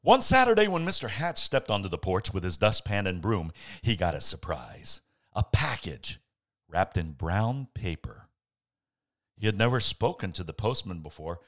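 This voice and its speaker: A man reading a bedtime story aloud as a podcast host, with playful dramatic pauses and casual asides. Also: on the low side, very animated, fairly bright tone.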